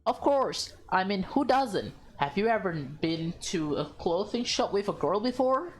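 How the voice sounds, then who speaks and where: Woman plays visual novel fashionista girl: excitable, teasing, theatrical, unhurried delivery. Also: medium pitch, soft background music, natural voice. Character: excitable, bossy, playful fashion-loving girl.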